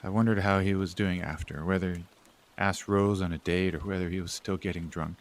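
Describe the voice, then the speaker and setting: A man's voice: deep voice, fairly even intonation, a little fast. A man acting in an audio drama as a bitter, struggling family man and older brother, earnest and pressing. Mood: sombre, reflective.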